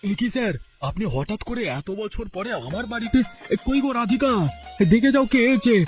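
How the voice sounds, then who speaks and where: A man voicing a stubborn, penny-pinching village father in a cartoon, blunt and quick to bluster. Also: extremely high pitch, soft background music. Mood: eager. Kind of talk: cartoon voice acting.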